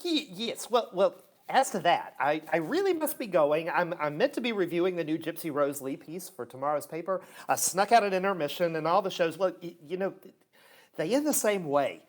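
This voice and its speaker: A man's voice: sits high, lively intonation, normal speed. Man playing a stammering, fussy theater critic. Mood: flustered. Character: flustered, cowardly, self-important theater critic, petty villain. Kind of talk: theatrical radio play acting.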